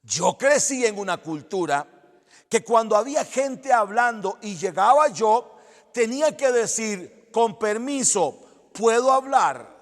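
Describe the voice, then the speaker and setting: A man's voice: high-pitched, animated, a little slow, long reverb tail. A male pastor preaching a sermon in earnest, exhorting tones, repeating phrases for emphasis.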